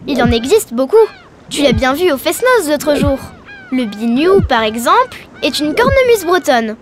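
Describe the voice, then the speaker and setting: High-pitched, cheerful cartoon girl. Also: very animated, normal speed, noisy background. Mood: bright, explanatory. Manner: explanatory, encouraging. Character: cheerful young Breton girl teaching her alien friend. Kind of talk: scripted educational cartoon dialogue. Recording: music behind the voice.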